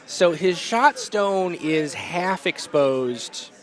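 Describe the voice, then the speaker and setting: A man's voice: high voice, animated, drawn-out words. A man from New England doing casual, wry play-by-play commentary on a curling match. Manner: chatty, wry, stumbles over names.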